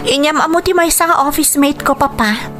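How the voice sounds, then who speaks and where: A woman plays an earnest young Filipino daughter in a radio drama. Her voice sits a little high, respectful and confessional, in an Ilocano accent, with music underneath. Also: normal speed, natural voice. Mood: uneasy, explaining. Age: young adult. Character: earnest, confessing grown daughter, pregnant professional.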